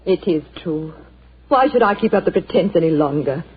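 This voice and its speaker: Pleading genteel wife, husky voice over tinny radio. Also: female voice, lowish voice, expressive, normal speed. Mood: distressed. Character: anxious upper-class wartime wife. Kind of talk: 1940s radio acting. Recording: tinny 1940s radio broadcast.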